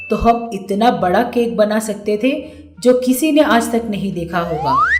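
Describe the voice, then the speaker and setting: A woman's voice: mid-range voice, a little mellow, echoey room, natural voice. Stern, scolding village mother-in-law played by a woman. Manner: scolding, emphatic, lecturing.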